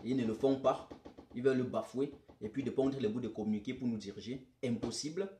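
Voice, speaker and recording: A man's voice: medium pitch, a little fast, dark tone. A man from Cameroon, hosting a political live stream, emphatic and repeating his points straight to viewers. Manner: emphatic, repeats phrases, addresses viewers directly. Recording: roomy echo.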